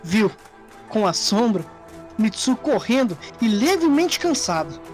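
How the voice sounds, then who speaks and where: A woman's voice: on the high side, very animated, a little slow, darkish tone. A woman narrator reading a scripted story in a dramatic storytelling style. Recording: music under the voice. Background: background music.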